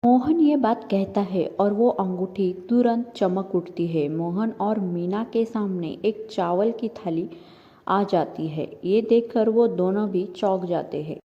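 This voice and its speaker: A woman's voice: medium pitch, a little slow, warm tone. Woman narrator with long reverb. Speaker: story narrator. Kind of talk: scripted moral story narration. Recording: dull, lo-fi sound with long reverb.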